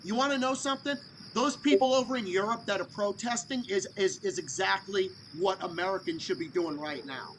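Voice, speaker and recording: High-voiced man ranting profanely. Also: a little fast, low-quality recording. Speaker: live stream host. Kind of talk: casual call-in live stream chat.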